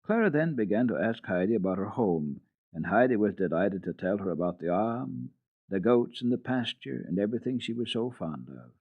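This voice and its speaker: A man with a fairly low voice reads a children's story in a dramatic, deliberate way. His pitch swings widely as he narrates, with expressive pauses between phrases. The sound is dry and tinny, like an archival radio recording. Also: dry recording. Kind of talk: dramatic reading of a children's book.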